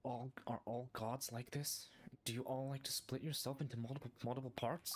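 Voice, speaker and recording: A man's voice: medium pitch, level tone, fairly quick. A man giving casual live commentary as he plays a video game. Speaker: gamer commenting on gameplay.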